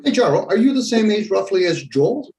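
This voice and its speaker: A man's voice: high-pitched, sing-song, a little fast, darkish tone. A man in his fifties hosting a casual group chat, talking in a rambling, reflective, joking way. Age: 50s. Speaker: host. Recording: roomy with faint noise.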